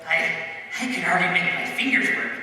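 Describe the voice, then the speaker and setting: A woman's voice: a little fast, bright, forceful tone. A woman playing a fawning, greedy criminal sidekick in a noir radio parody, with theatrical, melodramatic delivery. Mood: anxious. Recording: echoey hall recording from far off.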